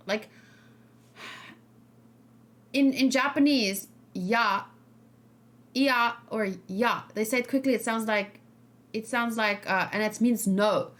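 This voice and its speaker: A woman's voice: on the high side, a little slow, long pauses, a bit bright. Casual female streamer, English speaker. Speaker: streamer reacting to game trailers. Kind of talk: casual livestream chat.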